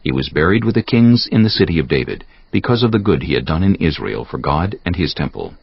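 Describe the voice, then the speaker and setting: A man with a gravelly voice giving measured, solemn narration for a dramatized audio Bible. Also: deep voice, sing-song. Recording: dull audio with soft music behind. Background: soft background music.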